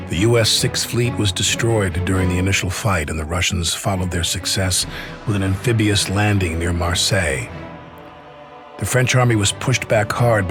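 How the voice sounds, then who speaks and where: An actor with an American accent playing an army officer who recalls a war in measured, reflective narration, with music underneath. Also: male voice, low voice, natural voice. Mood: grave, reflective. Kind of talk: scripted dramatic war narration.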